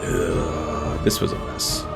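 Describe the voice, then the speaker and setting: A man's voice: rumbling low, completely flat delivery, takes it slowly, vocal fry, loud music behind the voice. A man streaming a strategy game, muttering, cursing at it and reading chat aloud. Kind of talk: casual gameplay commentary.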